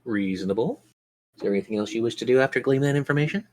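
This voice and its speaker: A man's voice: mid-range voice, racing. A man narrating a tabletop role-playing game as game master, describing scenes and prompting players with questions.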